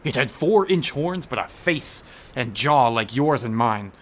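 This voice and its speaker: A man's voice: mid-range voice, animated, measured pace. An actor playing an earnest, argumentative intellectual man obsessed with eerie legends in a radio horror drama. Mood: ominous, insistent.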